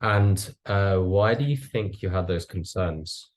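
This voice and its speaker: Young guy with a deep voice, student doing an interview from half-scripted questions. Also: slow. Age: young adult.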